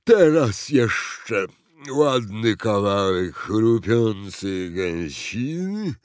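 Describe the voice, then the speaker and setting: Man as a slow, gluttonous fat president. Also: on the low side, big pitch swings, no room sound, clean studio sound, full character voice. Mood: greedy, savouring. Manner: laboured, sighing, halting. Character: fat, lazy, grumpy, gluttonous male president.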